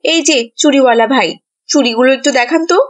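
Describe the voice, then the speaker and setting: A woman's voice: high-pitched, fast. A woman voicing an exasperated village mother in a cartoon, with a scolding manner. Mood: haggling. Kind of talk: cartoon voice acting.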